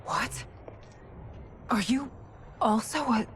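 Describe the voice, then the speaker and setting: A woman's voice: fairly high pitch, slow, a little hiss or hum. A woman playing an earnest, regal warrior queen in a video game cutscene, emotional yet formal, wistful and dutiful. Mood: confused. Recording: loud music behind.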